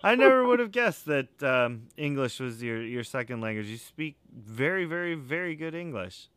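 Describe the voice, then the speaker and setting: A man's voice: medium pitch, sing-song, moderate pace, smooth. A man hosting a casual interview who reacts with laughter and encouragement. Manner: warm reactions, laughs along. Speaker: podcast host.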